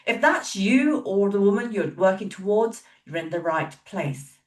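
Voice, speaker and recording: A woman's voice: medium pitch, animated, unhurried. A woman hosting a podcast, upbeat and emphatic in a motivational way. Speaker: podcast host. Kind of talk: scripted intro then casual interview chat. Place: home, in British weather. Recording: roomy echo, a bit far from the mic, faint music.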